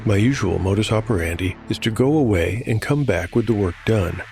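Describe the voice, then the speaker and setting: A man narrating a solo fantasy role-playing show as game master, in a measured, explanatory scripted style. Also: lowish voice, animated, dark tone. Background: music.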